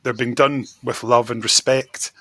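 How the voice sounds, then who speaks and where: A male podcast guest with a strikingly bright, forward voice and a very dry sound. He gives his opinions conversationally, at a medium pitch. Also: little pitch movement, a little slow, no room sound. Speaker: podcast guest, magazine editor. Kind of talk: casual chat, giving opinions.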